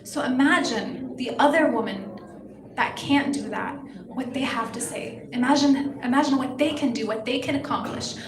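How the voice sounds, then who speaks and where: A young woman from Palestine answers press questions at a pageant meet-and-greet, earnest and impassioned. Her voice sits a little high. The recording is echoey, with clear room chatter behind her. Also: moderate pace. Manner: earnest, impassioned, speaks in full sentences. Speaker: pageant contestant. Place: hotel event hall with a crowd. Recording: echoey hall, busy room noise.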